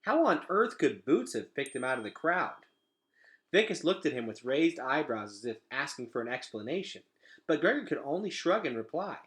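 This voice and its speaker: A man reads a children's story aloud with an expressive delivery. His voice is bright and forceful, moving widely up and down in pitch. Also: moderate pace. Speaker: read-aloud reader.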